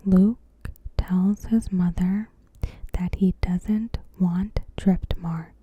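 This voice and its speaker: A woman speaks in a breathy, hushed whisper, extremely slowly and deliberately. Her tone is very soft and dark, with no edge at all. She narrates like an ASMR host, in short phrases separated by gaps. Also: on the low side.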